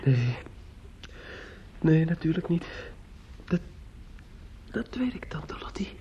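Slow, halting, grief-stricken young man. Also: a little high, sing-song, warm tone. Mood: distraught. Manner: halting, stammering, repeating himself, breaking into whispers. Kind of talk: intimate radio play acting. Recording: muffled, noisy old radio drama recording.

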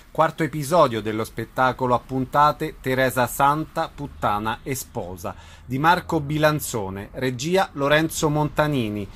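A man's voice: medium pitch, fairly bright tone. Man reading scripted radio announcements.

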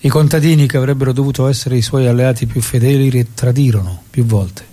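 Solemn male storyteller, played by an actor: dark, husky tone, measured theatrical delivery over music. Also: mid-range voice, close to an everyday voice. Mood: somber. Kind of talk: dramatic scripted stage narration. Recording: music behind the voice.

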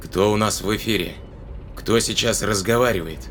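A man's voice: fairly low pitch, normal speed, very noisy, close to an everyday voice. An actor playing an urgent, demanding male spaceship captain in an audio drama.

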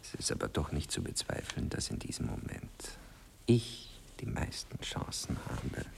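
An actor playing a self-important, touchy poet and lover in a 1960s radio play, languid and theatrical, often sinking to a murmur. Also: male voice, rumbling low, sing-song, measured pace, background noise, full character voice. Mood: languid, intimate. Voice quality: husky, breathy, gravelly.

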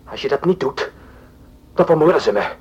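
An actor playing an urgent, pleading male captive delivering a warning in a radio drama, speaking deliberately. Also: a little high, lively intonation, close to an everyday voice. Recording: dull telephone scene, noisy old recording.

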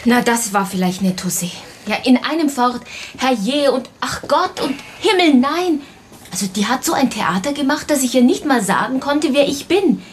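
A woman's voice: high-pitched, normal speed, a little hiss or hum. Pert female detective's assistant, faint ambience. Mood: gossipy, exasperated. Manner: colloquial, expressive, pert. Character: chatty, sharp-witted female assistant to a detective. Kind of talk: German radio crime drama acting.

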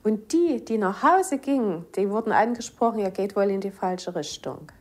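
A woman gives an eyewitness recollection, pausing and repeating words for emphasis. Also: medium pitch, lively intonation. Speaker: eyewitness interviewee. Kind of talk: spontaneous spoken recollection.

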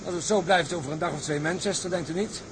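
A man's voice: a little high, fast, noisy background, lightly acted. A man playing a young, loyal, hopeful tugboat crewman in a radio drama, with a respectful, eager manner. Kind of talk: Dutch radio drama acting.

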